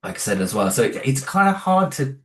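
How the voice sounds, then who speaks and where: A man's voice: medium pitch, very animated, fairly quick, a bit bright, echoey room. A man being interviewed as an audio drama creator, chatting casually with many restarts and asides. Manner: rambling, many restarts, laughs along.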